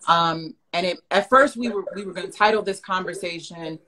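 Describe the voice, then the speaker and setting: A woman in her thirties from New York City with a New York accent, hosting a video-call interview in a reflective, measured way. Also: lowish voice, sharp tone, rough recording. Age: 30s. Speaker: host. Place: living room with plants.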